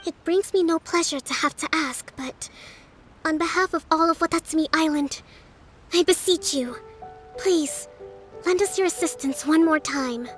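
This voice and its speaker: A woman playing a composed, earnest young island leader in a video game, speaking in a formal, measured manner. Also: very high voice, faint music behind. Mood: grave, earnest.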